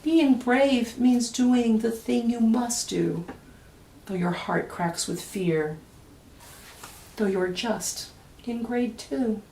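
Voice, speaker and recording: A woman reading a children's picture book aloud expressively, with deliberate dramatic pauses and emphasis. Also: a little high, vocal fry, dark tone, slight background noise. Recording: echoey room, distant microphone.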